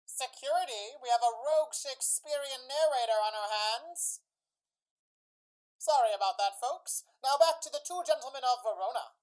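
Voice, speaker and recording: A woman's voice: sits high, moderate pace, darkish tone, low-quality recording. A woman announcing and narrating a radio play in a theatrical, old-time style. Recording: music under the voice.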